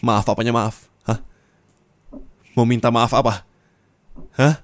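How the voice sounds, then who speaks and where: A man in an ASMR roleplay, scolding his partner bitterly. He moves between low muttering and raised angry reproach, recorded on a close mic. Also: steady tone, slow.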